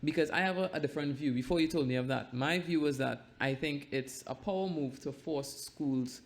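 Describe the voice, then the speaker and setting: A man's voice: a little high, brisk. A man hosting a podcast in a casual interview style. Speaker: podcast host.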